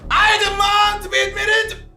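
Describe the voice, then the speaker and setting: A woman playing a pompous, indignant adventurer clerk in a comic audio drama, declaiming and shouting imperiously. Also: extremely high pitch, little pitch movement, measured pace, faint music behind, full character voice. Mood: imperious, furious.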